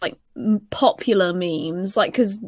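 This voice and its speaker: A woman of twenty chatting casually on a podcast in a rambling, halting way, often repeating herself. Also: mid-range voice, no echo, studio quality. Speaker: podcast panelist.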